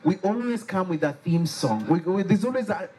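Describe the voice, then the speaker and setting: A man with a Liberian accent leading a lively workshop, joking and getting the audience to repeat key words after him. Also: sits high, moderate pace. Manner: animated, playful, has the audience repeat words. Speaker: workshop facilitator. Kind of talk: interactive call-and-response workshop. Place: workshop room with a live audience.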